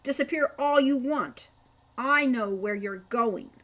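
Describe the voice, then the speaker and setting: A woman's voice: fairly high pitch, slow pace. Woman playing a bold, resolute teenage boy hero. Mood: defiant. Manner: forceful, resolute. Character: bold, determined sixteen-year-old boy hero.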